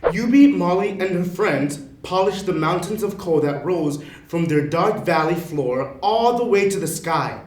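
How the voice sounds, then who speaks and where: A man's voice: high-pitched, lively intonation, unhurried, few pauses. A man narrating a children's storybook as a scripted readalong. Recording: noisy, roomy, distant mic.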